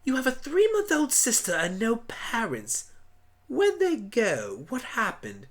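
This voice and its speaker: A woman's voice: a little high, wildly swooping pitch, unhurried, pitched above the performer's own voice, heavily acted. A woman voicing an eccentric, kindly male alien pony doctor, musing theatrically in a fan radio play. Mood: probing.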